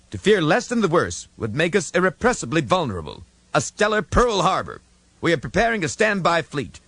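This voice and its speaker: Man as grave hawkish admiral, formal, emphatic, raised pitch, science fiction audiobook. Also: a bit bright, dry recording. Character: grave, alarmist male admiral, self-assured military hawk.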